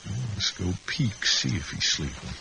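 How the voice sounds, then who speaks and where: Casting: gruff elder-brother outlaw, deep American drawl, wry and terse, noisy old radio Western. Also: male voice, very animated, normal speed, full character voice. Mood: hushed, wary. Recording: noisy vintage radio drama recording.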